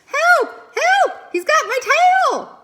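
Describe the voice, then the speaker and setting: A woman voicing a small, excitable young boy beaver in a children's storybook, crying out urgently in fright. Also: drawn-out words, heavy background noise, full character voice. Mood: panicked. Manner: high, loud, urgent cries. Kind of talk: children's storybook read-aloud.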